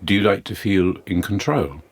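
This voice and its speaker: A low-voiced, husky therapist in an audio drama. He is measured and probing, steering with leading questions.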